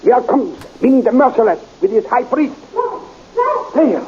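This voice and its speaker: An anxious, excitable male scientist whose voice is high and leaps around in pitch. He sounds alarmed and eager. The recording is a muffled old radio serial with a faint hiss. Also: unhurried.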